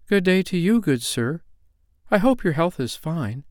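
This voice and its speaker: A man voicing a timid, gentle little girl in a storybook, speaking politely and earnestly. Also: sits high, big pitch swings, normal speed, clean tone, no echo, clean studio sound, voice pushed up. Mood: brave, polite.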